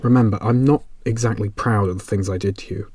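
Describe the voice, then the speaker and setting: An actor playing a guilt-ridden detective, brooding and earnest in tone. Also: male voice, fairly low pitch, steady tone, a little fast, dark tone, husky, dry recording. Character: guilt-ridden man, detective, troubled by past. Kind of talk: audio drama acting.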